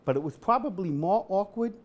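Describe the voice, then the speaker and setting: A middle-aged man doing a stand-up comedy set at an event, with a deadpan delivery that bursts into shouted singing. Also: high-pitched, a little fast. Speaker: comedian host. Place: event hall with a live audience.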